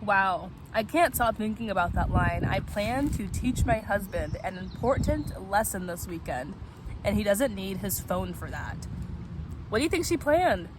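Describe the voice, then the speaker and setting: A woman's voice: mid-range voice, expressive, measured pace. A woman speaking in a playful, casual way as a vlog host, chatting and reading aloud. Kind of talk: casual vlog chat and novel read-aloud. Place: outdoors at a picnic spot. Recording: noisy outdoor recording with traffic ambience.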